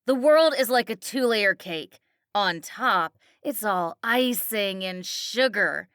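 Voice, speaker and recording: A woman's voice: mid-range voice, lively intonation, slow pace, fairly bright tone, clean tone, no echo, studio quality. A woman voicing a foul-mouthed, jealous, bullying mother on drugs, ranting in slang for an audiobook. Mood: scornful lecturing. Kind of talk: audiobook character acting.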